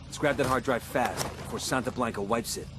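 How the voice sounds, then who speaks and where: A man playing a tough, sardonic special forces operator, gruff and dry, in a video game. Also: medium pitch, a little fast, close to an everyday voice. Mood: commanding. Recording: clear noise, crickets and vehicle ambience.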